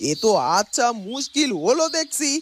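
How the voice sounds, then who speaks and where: A woman voicing a greedy, scheming middle-aged village mother in a cartoon, wheedling and sharp-tongued, with music behind. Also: high-pitched, moderate pace, background noise, natural voice. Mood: irritated scolding. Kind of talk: cartoon dubbing.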